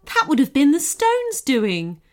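A woman's voice: high voice, huge pitch swings, a little slow, pitched above the performer's own voice, full character voice. A woman voicing a kindly, anxious female goblin with a folksy, polite way of talking, in a storybook tale. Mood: delighted, grateful.

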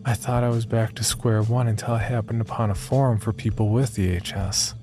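A man performing scripted first-person horror narration as an uneasy young man, in a measured, confiding way with deliberate pauses. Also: lowish voice, steady tone, close to an everyday voice. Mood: wary, confiding. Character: uneasy young man recounting his sleep disorder. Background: background music.

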